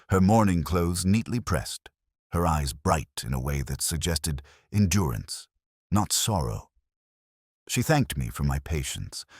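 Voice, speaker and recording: A very low-pitched, world-weary older male detective as acted by a man narrates his case like a literary crime drama. The delivery is solemn and measured, yet the melody rises and falls a good deal. The sound is dry and close. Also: no echo, heavily acted. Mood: somber, quiet. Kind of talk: scripted first-person detective story narration.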